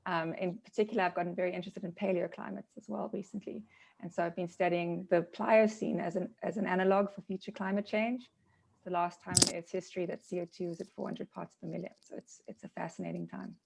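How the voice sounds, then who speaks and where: Low, gravelly-voiced enthusiastic woman. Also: fairly even intonation, fast talker, darkish tone, low-quality recording. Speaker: scientist panelist. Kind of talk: webinar self-introduction. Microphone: video call.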